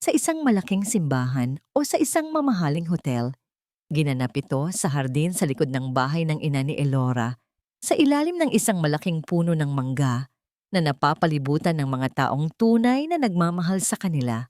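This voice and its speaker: A woman with a fairly low voice narrates a love story as a storyteller. Her reading is scripted and dramatic, and the sound is dry with no room echo. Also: sing-song, moderate pace, a little mellow.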